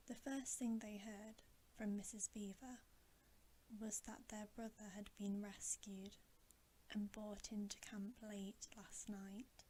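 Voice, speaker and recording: A woman reading a bedtime story in a soft, breathy ASMR whisper, gently and with pauses. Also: medium pitch, a little hiss or hum, low-quality recording. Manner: slow, gentle, unhurried delivery with pauses.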